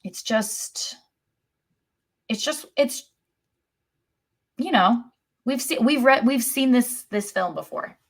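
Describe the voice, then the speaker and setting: A woman's voice: on the high side, lively intonation, slow, pauses often. A woman co-hosting a sports podcast, chatting casually with dry, sarcastic humor. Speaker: podcast co-host.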